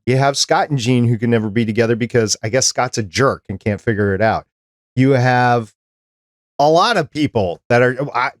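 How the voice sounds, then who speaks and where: A man's voice: on the low side, no room sound, studio quality. A man co-hosting a fan podcast, chatting casually and explaining his points at length with comparisons. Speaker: podcast producer and co-host. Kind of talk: casual chat.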